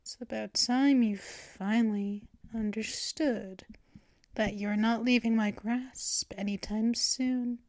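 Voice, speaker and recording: A woman voicing a possessive female vampire mistress in an ASMR roleplay, talking in soft, teasing whispers up close to the mic. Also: on the high side, steady tone, dry recording. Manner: slow, drawn-out delivery.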